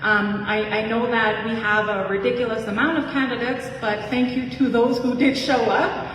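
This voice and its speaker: A woman speaking in a friendly, casual and slightly halting way as a candidate at a debate. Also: a little high, steady tone, fairly bright tone. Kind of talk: debate speech. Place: community hall. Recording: echoey hall, distant mic.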